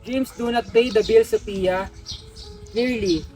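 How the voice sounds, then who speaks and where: A woman's voice: on the high side, unhurried, warm tone, faint music behind, lightly acted. A woman playing a stern, dismissive older brother in an amateur radio drama, scolding with a Filipino accent.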